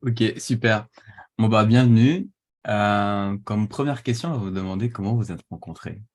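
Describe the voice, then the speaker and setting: A man's voice: lowish voice, normal speed, darkish tone. A man co-hosting a podcast, welcoming guests and asking questions in a friendly, casual way. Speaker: podcast co-host.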